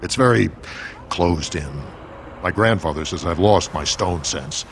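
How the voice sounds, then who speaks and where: A man's voice: low voice, measured pace, a little hiss or hum. An actor playing a proud, bitter male dwarf merchant in a fantasy game, speaking formally. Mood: matter-of-fact. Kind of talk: scripted fantasy game dialogue.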